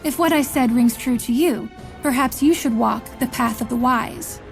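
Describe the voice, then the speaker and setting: A woman playing a solemn, commanding visionary mentor in a fantasy game, speaking in a measured, portentous, rhetorical way. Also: a little high, creaky, faint music behind. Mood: solemn, persuasive. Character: solemn, commanding female visionary mentor recruiting chosen leaders. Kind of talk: scripted fantasy game voice lines.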